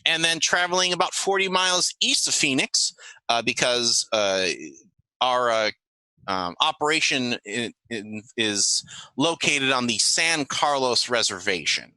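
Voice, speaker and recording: A man plays a female government agent running a briefing in a tabletop game. The delivery is halting, wry and businesslike, with a very bright, forceful tone in a dry, close recording. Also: medium pitch, expressive, no room sound, low-quality recording. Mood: mock-official. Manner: halting, dryly joking.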